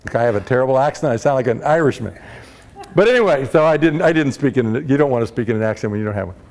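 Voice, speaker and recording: A man preaching a storytelling sermon, impassioned, rising to loud peaks when he quotes the heroes he describes. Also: mid-range voice, expressive, fast. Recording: long hall reverb.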